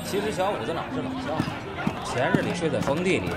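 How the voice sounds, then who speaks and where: A man playing a hearty, protective beggar-clan brother in a Chinese wuxia audio drama, gruffly jovial. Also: a little high, very animated, fast, over a noisy crowd. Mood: joking.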